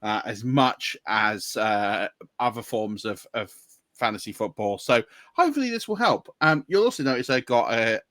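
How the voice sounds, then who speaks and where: A man's voice: mid-range voice, expressive, moderate pace, a bit bright, dry recording, clean studio sound. A man hosting a podcast, chatting casually in an upbeat, welcoming way. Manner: upbeat, welcoming, many fillers. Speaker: podcast host.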